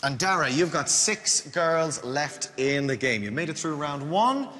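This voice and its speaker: High, lively Irish TV host. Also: male voice, unhurried. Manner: teasing, playful banter with contestants. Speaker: dating show host. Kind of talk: lively TV game show hosting. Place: TV studio with a live audience. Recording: loud studio audience, reverberant hall.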